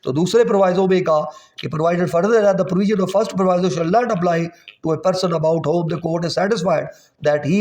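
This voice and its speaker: A man's voice: sits high, darkish tone. A man with a Pakistani accent giving an explanatory law lecture in a didactic way, repeating points, posing rhetorical questions and switching into English for quotations. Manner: switches between Urdu and slow English quotations. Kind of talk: explanatory exam-prep lecture. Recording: echoey, reverberant room.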